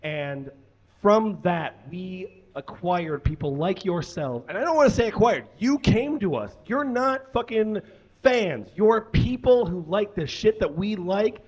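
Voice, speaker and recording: A man's voice: sits high, expressive, unhurried, highs cut off. A middle-aged man hosting a live comedy podcast show, addressing the room casually with swearing, exuberant, on a handheld stage microphone. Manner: exuberant, often raising his voice. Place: small indoor stage in a bar.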